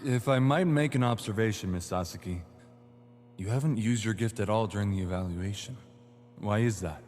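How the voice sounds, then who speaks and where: A man playing a composed male evaluator in his twenties in an audio drama, speaking in formal, measured, polite sentences. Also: on the low side, a little mellow, close to an everyday voice. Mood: calm, probing. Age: 20s. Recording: long hall reverb, noisy hum.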